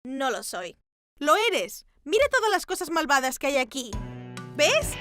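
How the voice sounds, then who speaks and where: Scatterbrained, kind young cartoon princess, high-pitched, animated, chatty and dramatic. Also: female voice, measured pace, faint music behind, heavily acted. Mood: coaxing, animated.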